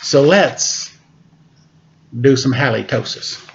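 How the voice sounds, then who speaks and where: Slow-paced man reading a children's story aloud. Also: medium pitch, vocal fry, highs cut off, slight background noise. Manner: playful, unhurried, pausing to comment on pictures. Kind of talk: read-aloud story time with casual asides.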